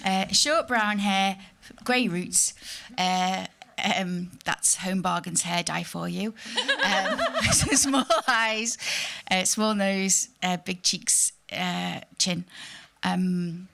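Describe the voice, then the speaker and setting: A woman's voice: on the low side, animated, extremely slow, vocal fry, hardly any pauses, sharp tone. A fifty-year-old woman from Liverpool hosting a panel discussion, chatty and self-mocking, with lots of fillers. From Liverpool, England. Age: 50s. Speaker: panel host. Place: theatre symposium panel.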